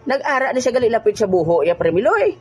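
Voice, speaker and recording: A woman's voice: on the high side, expressive, fast talker, a crowd in the background, close to an everyday voice. An actress playing a lonely aging woman who laughs often and teases her friend in a comic audio drama. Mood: teasing. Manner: laughs a lot, teasing and wistful. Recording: background music.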